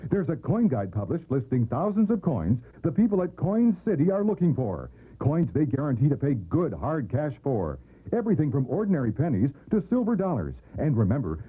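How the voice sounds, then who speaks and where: A man's voice: on the high side, husky. An American man reading a hard-sell radio commercial as an announcer. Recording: thin narrowband AM radio recording.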